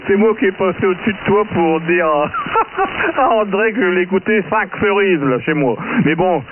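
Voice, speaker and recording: High voiced guy on a hissy mobile radio link, playful. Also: very animated, normal speed, narrow, tinny audio. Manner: playful, laughing. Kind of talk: casual radio chat.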